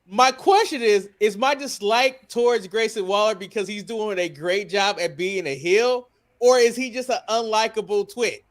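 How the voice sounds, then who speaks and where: A man's voice: high voice, normal speed. An American man hosting a wrestling podcast, chatting casually and bluntly, swearing and putting questions to others. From United States. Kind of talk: casual opinionated sports chat.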